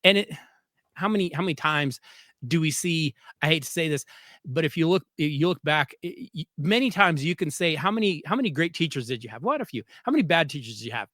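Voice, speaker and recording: Higher-voiced American male podcast host. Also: lively intonation, fast talker, a bit bright, no echo, studio quality. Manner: earnest, emphatic, repeats phrases. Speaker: podcast host, former coach. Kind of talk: conversational interview.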